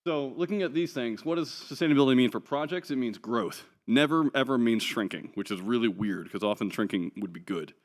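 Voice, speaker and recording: A man's voice: medium pitch, animated, brisk, few pauses. A man giving a conference talk from slides, chatty and wry, with plenty of ums and offhand jokes. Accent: none New Zealand. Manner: casual, chatty, wry asides with many ums. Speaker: conference speaker. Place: conference hall.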